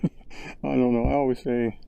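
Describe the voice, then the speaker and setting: Gravelly-voiced man thinking aloud. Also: mid-range voice, moderate pace, no room sound, highs cut off. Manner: relaxed, rambling, thinking aloud with fillers. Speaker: VR sculpting creator. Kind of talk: casual tutorial narration. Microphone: VR headset built-in mic.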